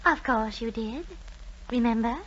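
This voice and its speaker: An actress in an old-time radio drama playing a genteel, slyly sweet murder suspect with a coaxing, composed manner. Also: female voice, a little high, unhurried, fairly bright tone, slight background noise. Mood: coyly insistent. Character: genteel, slyly sweet Southern-era lady poisoning suspect. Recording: dull vintage radio recording.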